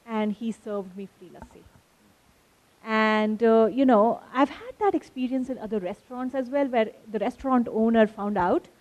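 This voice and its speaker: A woman's voice: fairly high pitch, moderate pace, darkish tone, rough recording. Woman recounting experiences. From India. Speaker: filmmaker, interview guest. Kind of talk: conversational storytelling in a panel interview.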